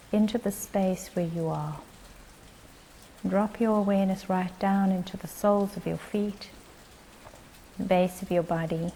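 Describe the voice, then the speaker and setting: Very slow woman guiding a meditation. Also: medium pitch, a little hiss or hum, rough recording. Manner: slow, gentle phrases with long pauses. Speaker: meditation guide. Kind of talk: guided meditation.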